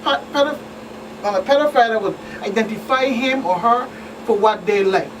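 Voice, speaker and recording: A woman's voice: on the high side, moderate pace. A woman with a Virgin Islands Caribbean accent speaks emphatically as a guest expert in a talk show interview, repeating phrases as she recounts cases. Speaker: police commander, guest expert. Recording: distant mic, noisy room hum.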